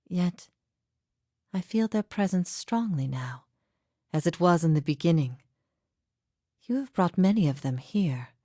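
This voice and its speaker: Fantasy game spirit: martyred female heretic, low register, formal and grave, steadfast yet forgiving, breathy phrase endings, dry close sound. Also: a little mellow, dry recording. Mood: grave, sombre. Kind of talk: scripted fantasy game dialogue.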